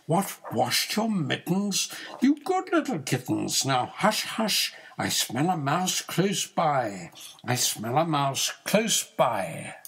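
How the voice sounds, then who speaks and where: A man's voice: fairly high pitch, wildly swooping pitch, takes it slowly, fairly bright tone, husky, exaggerated voice. A man voicing a storybook mother cat, fond but chiding, in sing-song exclamations. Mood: approving.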